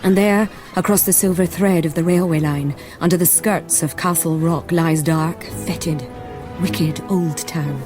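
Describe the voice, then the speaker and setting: A woman playing a knowing storyteller, narrating a radio drama in a literary, deliberate and dramatic manner. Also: fairly low pitch, steady tone, close to an everyday voice. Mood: atmospheric, ominous. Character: knowing, atmospheric female storyteller. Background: music.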